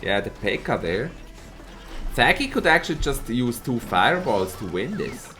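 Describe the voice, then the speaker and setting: Lively, slightly hoarse man casting a game. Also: mid-range voice, normal speed, music in the background. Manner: lively, laughs often, greets chat viewers by name. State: a bit hoarse from a cold. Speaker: esports caster and streamer. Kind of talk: live tournament commentary with chat replies.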